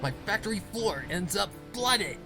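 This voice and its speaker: A man's voice: sits high, lively intonation, normal speed, over music, voice pushed up. A man voicing a brash, angry female pegasus factory boss with a snapping, demanding manner.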